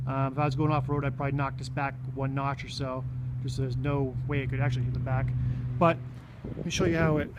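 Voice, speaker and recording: A man giving a casual, unscripted walk-around explanation in a plainspoken, halting way, pausing mid-phrase, recorded on a handheld camera mic around his vehicle. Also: a little high, background noise. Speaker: vlogger showing his own vehicle radio install.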